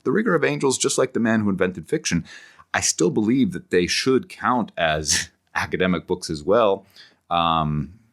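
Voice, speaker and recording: Deep-voiced middle-aged man, reflective academic. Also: lively intonation, fairly bright tone. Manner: reflective, halting, circles back and rephrases as he explains. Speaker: interview guest, humanities professor and author. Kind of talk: thoughtful long-form podcast interview.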